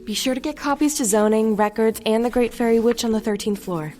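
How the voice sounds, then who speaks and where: A woman's voice: on the high side, fairly quick, darkish tone, soft background music, lightly acted. A woman playing a stern, overworked deputy mayor in an audio drama, firm and formal. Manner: firm, formal, clipped authority. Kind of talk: fan-made audio drama.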